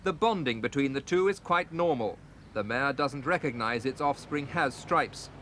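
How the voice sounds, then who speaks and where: Man, TV news reporter, even scripted narration, mid-pitch, slight background noise. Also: moderate pace.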